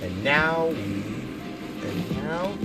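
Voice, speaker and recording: A man comments casually as he plays a video game. His pitch swings widely as he exclaims in a mock-dramatic way, with loud game music all around his voice. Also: animated, extremely slow. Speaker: gamer, video creator.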